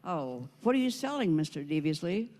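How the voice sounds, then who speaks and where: Man as a skeptical housewife, high pitch, arch and courteous, swooping intonation, amateur comedy skit. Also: sing-song, normal speed, a little mellow. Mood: arch, curious. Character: polite, skeptical stay-at-home housewife. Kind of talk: amateur comic skit reading. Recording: echoey hall with long reverb.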